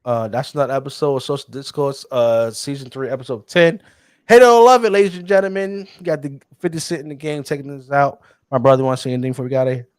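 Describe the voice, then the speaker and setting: A man co-hosting a casual sports podcast, talking in an animated, teasing way and chuckling often. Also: medium pitch, fast, clean tone, dry recording, clean studio sound. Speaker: podcast co-host.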